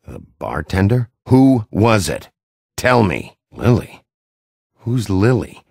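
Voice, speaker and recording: An actor voicing a gruff, hot-tempered wolf detective in a video game, rough voice, blunt and threatening. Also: male voice, fairly low pitch, very animated, slow, a little mellow, no room sound, studio quality, exaggerated voice. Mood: angry, forceful. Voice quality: gravelly, rough voice. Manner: blunt, threatening interrogator, curses freely.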